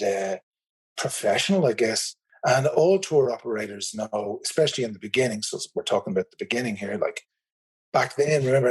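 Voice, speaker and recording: A man's voice: medium pitch, a little mellow, husky, poor audio. An Irish man talking as a guest in a casual interview, rambling and enthusiastic with many fillers and restarts. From Ireland. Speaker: tour operator guest. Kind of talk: casual video call interview.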